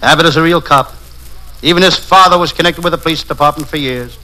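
An actor playing a wisecracking parody private detective, narrating gags deadpan in old-time radio comedy style. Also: male voice, fairly high pitch, fast talker. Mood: wry. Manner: deadpan gag-telling narration. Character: wisecracking hard-boiled parody private detective, adult man. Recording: noisy, crackling vintage radio recording.

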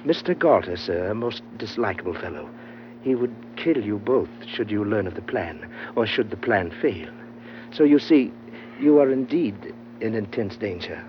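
An actor in an old-time radio adventure playing a genteel, scheming underworld trader with flowery, fussy, unctuously polite speech. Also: male voice, lowish voice, expressive, moderate pace, close to an everyday voice. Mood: suavely menacing. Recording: dull, noisy vintage radio recording.

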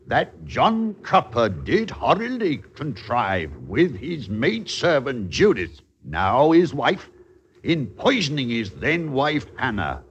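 A stern constable of old England in a radio drama. He declaims slowly and formally with big swings of pitch. The recording is dull with faint noise. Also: a bit bright. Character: stern, official King's Constable reading a warrant. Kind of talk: 1950s radio drama acting.

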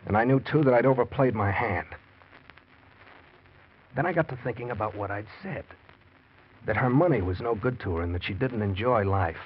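A man's voice: lowish voice, normal speed, long pauses, natural voice. Desperate schemer male actor, hard-boiled slangy delivery, old 1940s radio drama, dull noisy recording. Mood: brooding. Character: desperate scheming husband, a writer, narrating his crime.

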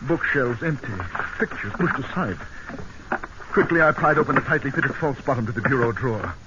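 An actor playing a brisk, thoughtful British army colonel in a radio drama, narrating and talking in a British accent. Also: male voice, mid-range voice, expressive, muffled recording, lightly acted. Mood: tense. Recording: noisy, band-limited old radio broadcast.

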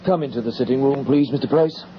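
A man's voice: medium pitch, natural voice. Muffled, grave English police inspector played by a man. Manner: measured, formal, deferential. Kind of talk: radio horror drama acting. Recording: muffled, noisy old radio drama recording.

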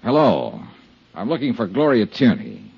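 A man's voice: mid-range voice, wildly swooping pitch, a little slow, gravelly, noisy background, heavily acted. An actor playing a cool, wry insurance investigator who narrates his case in a clipped, dry 1950s radio detective style. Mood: matter-of-fact. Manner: clipped, dry, matter-of-fact hardboiled delivery. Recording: dull 1950s radio recording.

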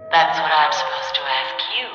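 An actress playing the ghost of a murdered woman, eerily calm, taunting and menacing, in a radio drama. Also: low-pitched, music in the background, close to an everyday voice. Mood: calm menace. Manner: slow, haunting, taunting. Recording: echoey long reverb, muffled vintage radio.

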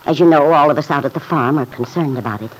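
An actress playing a kindly, thoughtful middle-aged farm mother in an old-time radio drama, speaking gently and politely with some hesitancy. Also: deep voice, fast talker, breathy edge, low-quality recording. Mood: gently concerned.

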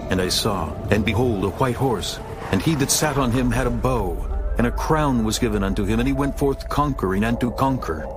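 A man narrating a dramatized scripture audiobook in a solemn, measured storytelling manner. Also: mid-range voice. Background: music.

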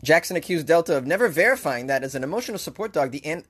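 A man's voice: fairly high pitch, animated, very fast, fairly bright tone, breathy edge. A man with a Boston accent hosting talk radio, ranting sarcastically about the news and laughing often. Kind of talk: opinionated news commentary.

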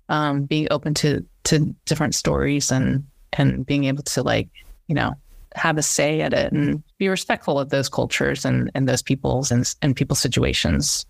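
A woman's voice: low voice, creaky, no echo. A middle-aged woman, a cinematographer, talking casually as a podcast guest, restarting her sentences often. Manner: chatty, many restarts and fillers. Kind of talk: casual interview chat.

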